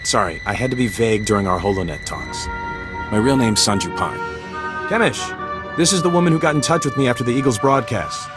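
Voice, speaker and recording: An actor playing a friendly, upbeat young rebel in a video game, chatty and eager. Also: male voice, mid-range voice, lively intonation, a little fast, music in the background, natural voice. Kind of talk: scripted video game dialogue.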